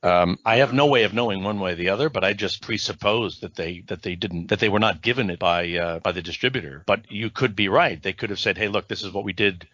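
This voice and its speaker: A man's voice: on the low side, fast, few pauses, a bit bright, no room sound, lo-fi sound. A man being interviewed as a voice actor on a podcast, talking at length in an explanatory way and often checking with "right?". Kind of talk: podcast interview, recounting career.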